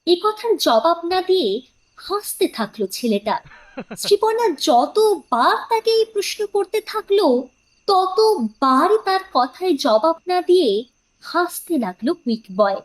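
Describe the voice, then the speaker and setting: High, expressive female storyteller. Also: unhurried, rough recording. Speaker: narrator. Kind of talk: scripted dramatic story narration.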